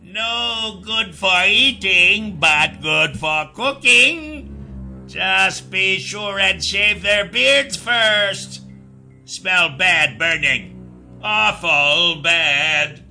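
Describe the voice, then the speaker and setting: A man voicing a big, cruel, gloating orc soldier leader in an audiobook, with a slow, menacing delivery. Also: high-pitched, animated, pushed and bright, soft background music, pitched above the performer's own voice, full character voice. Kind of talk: audiobook character voice.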